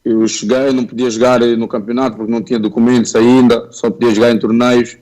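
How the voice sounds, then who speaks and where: A man's voice: medium pitch, little pitch movement, fast, warm tone. A young man, born in Angola and raised in Portugal, answering interview questions politely and modestly as a footballer. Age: young adult. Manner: polite, modest, plain-spoken. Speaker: footballer guest.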